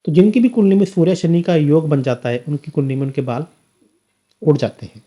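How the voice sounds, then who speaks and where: A man's voice: on the high side, fairly quick, dark tone. A man explaining astrology on a podcast, with emphatic, teacherly rhetorical questions and a slightly sore throat. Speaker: astrologer guest.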